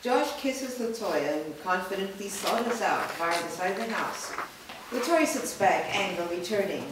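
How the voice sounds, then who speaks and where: A woman narrates stage directions from a script at a staged reading. Her medium-pitched voice is recorded from far away, with clear noise around it. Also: animated, normal speed. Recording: very echoey room, distant mic, noisy.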